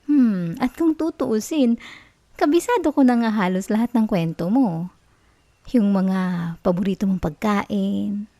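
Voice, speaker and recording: A woman playing an obsessive, sweetly manipulative young college girlfriend in an ASMR roleplay, with a Filipino accent and a soft, coaxing, cooing manner. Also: mid-range voice, no room sound. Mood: sweetly reassuring. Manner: coaxing, cooing, giggly reassurance.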